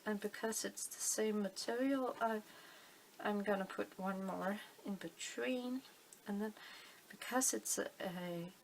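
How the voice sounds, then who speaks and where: Danish woman, middle-aged, craft tutorial host; slow, fry in the voice, a faint room hiss behind her. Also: mid-range voice, low-quality recording. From Denmark. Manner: unhurried, thinking aloud with many fillers. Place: indoors at a table, hands on camera.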